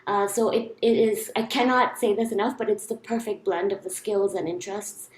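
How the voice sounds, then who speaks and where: A young woman from India introducing herself on a panel, articulate and quick, with light fillers, on a video call. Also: medium pitch, a little hiss or hum. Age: young adult. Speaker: alumni panelist, researcher. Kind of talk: casual self-introduction. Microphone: computer mic on a video call. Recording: echoey room on a call.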